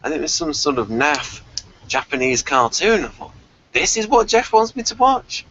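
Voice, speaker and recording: A man hosting a casual TV review chat, halting and repeating himself as he goes, on a lo-fi home recording. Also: a little high, very animated, bright, forceful tone, a little hiss or hum. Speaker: podcast review host.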